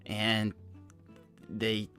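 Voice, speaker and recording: A man's voice: lowish voice, monotone, very slow, bright, forceful tone, soft background music, exaggerated voice. A man playing an awkward, eager teenage hedgehog in an improvised cartoon role-play, stammering, rambling and backtracking. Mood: curious, casual.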